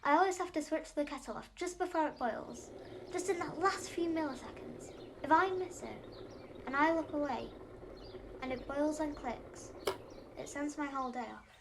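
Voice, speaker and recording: A woman's voice: high-pitched, moderate pace, background noise. A woman playing a bookish, anxious eight-year-old Yorkshire girl in an audio drama monologue, speaking earnestly and precisely. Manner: earnest, precise, matter-of-fact.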